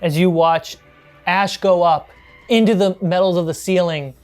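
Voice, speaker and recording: Low-pitched, unhurried woman running the game. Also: fairly even intonation, darkish tone, faint music behind. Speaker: game master. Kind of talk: narrating a tabletop horror game.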